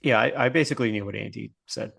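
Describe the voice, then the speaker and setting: A man's voice: lowish voice, fast, gravelly, fairly bright tone, slightly rough. A man co-hosting a podcast in casual, everyday chat. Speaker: podcast co-host.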